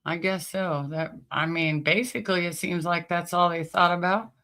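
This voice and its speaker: Female vlogger, bright forward voice, informal and conversational, talking straight to the audience as host. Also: fairly low pitch, steady tone, moderate pace. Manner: informal, conversational, addresses listeners directly. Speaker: host of a commentary channel. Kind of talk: casual chatty commentary to viewers.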